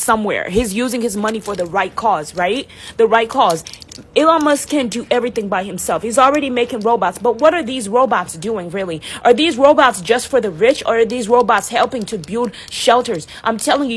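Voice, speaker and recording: Woman, high and husky, heated monologue full of restarts and repetition. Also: fairly bright tone. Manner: emphatic, repetitive, many restarts and fillers. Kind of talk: impassioned rant to camera.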